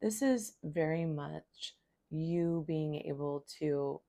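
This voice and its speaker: A woman with a hoarse voice from a cold, giving a calm, flowing spiritual tarot reading. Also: sits low, slow. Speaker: tarot reader.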